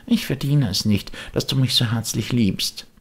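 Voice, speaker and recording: A man's voice: medium pitch, moderate pace, breathy edge, pitched above the performer's own voice. A man voicing an eager, naive little wooden puppet boy, exclaiming and pleading in an audiobook. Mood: tearful, earnest. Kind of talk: audiobook character voice.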